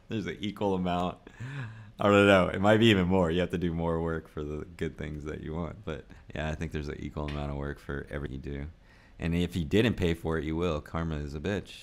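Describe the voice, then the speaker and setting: Super low gravelly guy in his 40s, rambling vlog talk, laughs a lot. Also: fairly even intonation, normal speed. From United States. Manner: rambling, musing, laughs often. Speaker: vlogger. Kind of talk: casual unscripted vlog talk.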